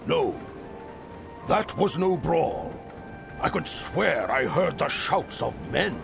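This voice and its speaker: A man playing a stern, suspicious dwarf commander with a gravelly voice, theatrical and ominous, in a video game cutscene. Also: fairly high pitch, animated, slow, full character voice. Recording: loud music behind. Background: loud music.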